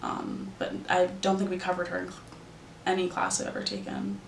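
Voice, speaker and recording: A woman's voice: on the low side, sing-song, a little fast, creaky, reverberant, a little hiss or hum, low-quality recording. A nineteen-year-old woman from Arizona, answering interview questions in a loose, rambling way with lots of fillers. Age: young adult. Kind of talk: casual recollection.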